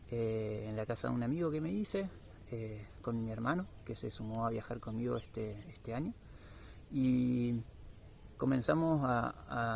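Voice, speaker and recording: A man with an Argentine accent giving a reflective spiritual monologue to viewers, somewhat halting as he searches for words. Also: mid-range voice, creaky, darkish tone, a little hiss or hum. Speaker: vlogger sharing spiritual reflections.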